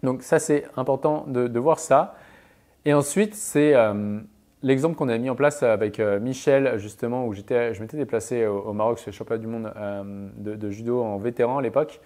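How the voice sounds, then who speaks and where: A man's voice: medium pitch, moderate pace, warm tone. A man speaking as a mental performance coach, giving advice and anecdotes emphatically, with punchy little interjections that punctuate his points. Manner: emphatic, punctuates speech with sound-effect interjections.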